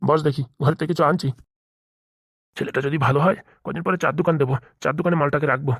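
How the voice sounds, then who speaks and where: Woman doing a grumbly stingy village uncle for a cartoon, rapid talk, dry recording. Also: deep voice, breathy edge, no echo. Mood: grumbling, scheming. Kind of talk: comedy cartoon dialogue.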